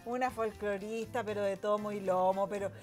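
A woman's voice: mid-range voice, steady tone, normal speed, a little mellow, soft background music. A woman with a Chilean accent hosting a chatty television interview in a studio, speaking in an effusive, exclaiming way. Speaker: television host. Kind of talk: chatty talk show interviewing.

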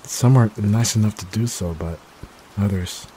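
Male actor as timid young catboy, low-pitched, halting and apologetic, ASMR roleplay, rain noise behind. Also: dark tone, lightly acted, rough recording. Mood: sad, confiding. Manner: hesitant, halting, stammering. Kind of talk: soft-spoken ASMR roleplay.

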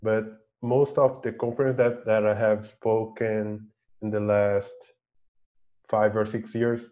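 A man's voice: on the low side, flat delivery, takes it slowly. A man, speaking thoughtfully and a little hesitantly as a panelist on a video call from a home room. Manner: thoughtful, hesitant, repeats words. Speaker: panelist, developer. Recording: muffled video-call audio.

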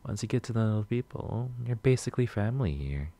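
A man playing a shy, sweet, nervous coffee shop regular in a soft-spoken ASMR roleplay, gentle, hesitant and rambling. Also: fairly low pitch, expressive, a little fast. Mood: relaxed, chatty. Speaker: roleplay audio performer.

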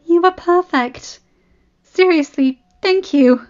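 Woman playing an eager, high-voiced teenage boy. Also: slow, darkish tone, dull audio. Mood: grateful. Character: excitable, grateful, playful teenage boy intern. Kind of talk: fan fiction audiobook character voice. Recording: band-limited audio with background music.

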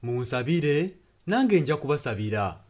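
A man's voice: a little high, very animated, moderate pace, darkish tone. A Ugandan man interpreting a sermon earnestly, phrase by phrase. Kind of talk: consecutive sermon translation. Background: music.

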